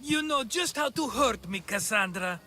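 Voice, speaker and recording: An actor playing an excitable, scheming male swindler in a video game, theatrical, cajoling and full of flattery. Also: very high voice, normal speed, a little hiss or hum, exaggerated voice. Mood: wounded, insistent.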